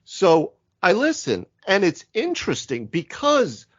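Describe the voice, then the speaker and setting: Animated male podcaster, lo-fi sound. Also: sits high, measured pace. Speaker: podcast host. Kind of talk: casual panel chat about tabletop games. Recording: dull lo-fi audio.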